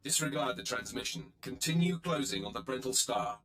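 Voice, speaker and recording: A man's voice: medium pitch, animated, a little fast, a bit bright. An actor playing an arrogant, menacing male Sith warrior in a video game, imperious and curt. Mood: curt, commanding. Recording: echoey, roomy sound.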